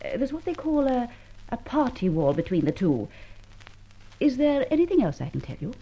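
An arch, poised society woman from a vintage radio serial. Her fairly high, husky voice is lively, airy and mock-innocent, on a dull old recording. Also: normal speed, heavily acted. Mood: mock-innocent, chatty. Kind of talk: old-time radio drama acting.

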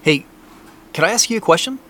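An actor playing an awkward, apologetic man in an audio drama, speaking in a halting, naturalistic way. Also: sits high, lively intonation, fairly bright tone, a little hiss or hum. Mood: curious. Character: awkward, apologetic man investigating a family mystery.

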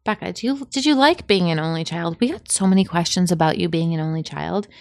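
A woman's voice: fairly low pitch, quick pace, no room sound, studio quality. A woman co-hosting a podcast, chatting in an upbeat, enthusiastic way and reading sponsor spots. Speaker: podcast co-host. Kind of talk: casual chat and ad read.

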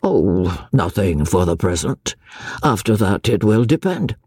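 A reader voicing a calm, cold male spy chief with a dry, sarcastic manner, pitched below the narrating voice. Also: unhurried, slightly rough, no room sound, heavily acted. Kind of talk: audiobook dialogue.

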